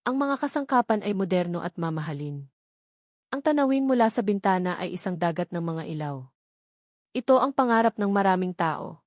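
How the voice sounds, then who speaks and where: A woman's voice: lowish voice, expressive, normal speed, no room sound, clean studio sound. A woman reads a scripted romance story as its narrator, in a dramatic storytelling style.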